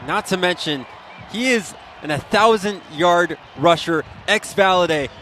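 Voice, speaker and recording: A man's voice: high-pitched, measured pace, a bit bright, slight background noise. A man giving live football analysis as a color commentator, conversational and opinionated as he explains the plays.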